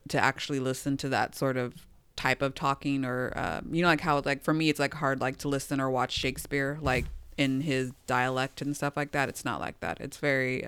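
A woman's voice: extremely low pitch, fairly bright tone, clean tone, no echo. A woman co-hosting a casual podcast chat. She rambles and searches for words, with lots of fillers. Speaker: podcast co-host.

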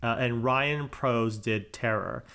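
A man hosting a casual podcast chat, talking fast and laughing easily as he moves the talk along. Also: mid-range voice, gravelly, pushed and bright, clean tone. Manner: quick, laughing, leads the topics. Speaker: podcast host.

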